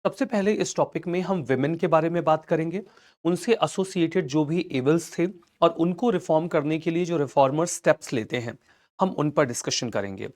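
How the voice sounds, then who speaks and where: A young Indian man with a mildly hoarse throat leads a live online history lesson. His voice sits a little high, and his tone is calm and reassuring. He speaks Hindi with English mixed in. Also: moderate pace. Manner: reassuring, calls students "beta", mixes English into Hindi. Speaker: online teacher.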